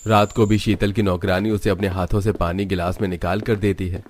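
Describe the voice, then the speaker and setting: A man's voice: sits low, level tone, brisk. A man reading scripted storybook narration for a cartoon tale.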